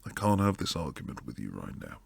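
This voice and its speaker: A man acts out a protective bodyguard boyfriend in an intimate ASMR roleplay. His voice is very deep and gravelly, with a slow delivery that slides into hushed whispers. He sounds stern and weary with tenderness underneath, close on a dry microphone. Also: very animated, dry recording, full character voice. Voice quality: low, gravelly, breathy.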